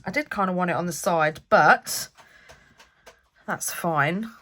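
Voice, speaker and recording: Slow-talking woman with a cold. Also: fairly low pitch, sharp tone, a little hiss or hum. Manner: chatty, thinking aloud while working. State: stuffy with a cold. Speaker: craft channel host. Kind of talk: casual crafting vlog talk.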